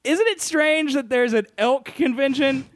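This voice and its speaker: A man's voice: extremely high pitch, a little slow, no echo, studio quality. A man hosting a talk show, joking and teasing his co-hosts in casual banter. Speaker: radio show host.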